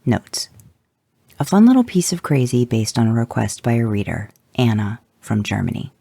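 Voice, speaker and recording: Gravelly, expressive podfic reader. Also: mid-range voice, moderate pace, dry recording. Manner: expressive, voices the characters. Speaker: podfic reader and podcast producer. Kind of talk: fan fiction audiobook reading.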